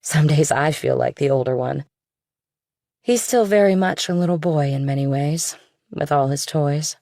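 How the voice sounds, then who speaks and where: A woman's voice: low voice, normal speed, mellow, dry recording, clean studio sound. A woman playing a young, worldly, guarded girlfriend in an audiobook, speaking casually and directly. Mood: breezy. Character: young worldly girlfriend of a rich older man, guarded, pointed. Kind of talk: audiobook character dialogue.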